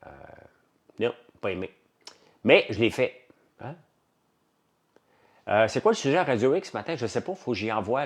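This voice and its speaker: A man speaks in a low voice with a strong Quebec French accent. He hosts an informal livestream, talking off the cuff to viewers. His delivery is colloquial and blunt, and he tends to ramble and repeat his phrases. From Quebec, Canada. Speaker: livestream host. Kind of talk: casual livestream chat with viewers.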